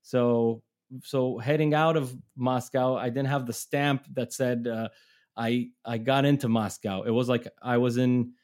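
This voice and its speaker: A middle-aged man from Israel chats as a podcast guest. He tells long road stories in a loose, rambling way, restarting sentences and laughing along. His voice sits at an ordinary middle pitch. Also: steady tone, unhurried, darkish tone. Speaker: touring musician, guest. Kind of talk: casual storytelling.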